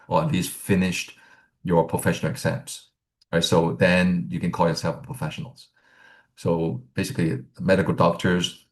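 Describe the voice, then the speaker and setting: A middle-aged man from Hong Kong explains career options like a relaxed instructor, with a very low, gravelly voice. He rambles and keeps checking in with "right?" as he goes. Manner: rambling, many fillers and "right?" tags. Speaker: accounting exam instructor. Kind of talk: casual teaching talk.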